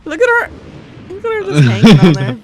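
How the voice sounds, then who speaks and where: Excitable young woman gamer, animated pitch. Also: brisk, crowd noise. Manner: excitable, sweary, repeats phrases. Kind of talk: casual gameplay commentary. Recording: game music behind the voice.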